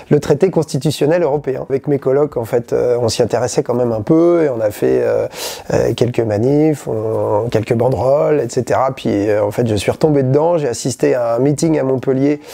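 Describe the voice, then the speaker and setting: A man's voice: medium pitch, vocal fry, warm tone. A man in his forties from Normandy, a schoolteacher and candidate answering interview questions, hesitant with many fillers and restarts. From Normandy, France. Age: 40s.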